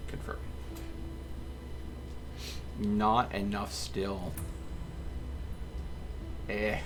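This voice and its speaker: A man's voice: on the low side, monotone, drawn-out words, noisy background. A man doing live gameplay commentary as a streamer, chatting to his viewers and muttering to himself as he plays. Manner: talks to chat and mutters to himself. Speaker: game streamer.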